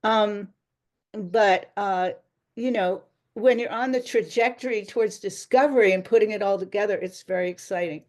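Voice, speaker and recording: A woman author answering interview questions in a chatty, rambling way, often pausing and laughing lightly. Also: medium pitch, a little mellow.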